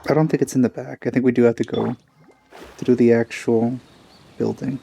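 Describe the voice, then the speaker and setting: Mellow man doing casual game commentary. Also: medium pitch, fairly even intonation, brisk, creaky, soft background music. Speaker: game streamer. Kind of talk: casual let's-play commentary.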